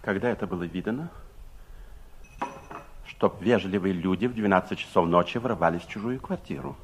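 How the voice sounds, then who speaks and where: An actor playing an anxious, defiant middle-aged father and secret conspirator in a 1960s Soviet radio drama, speaking in a theatrical, deliberate manner. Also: low voice, slight background noise. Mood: cold, defiant. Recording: 1960s radio play tape.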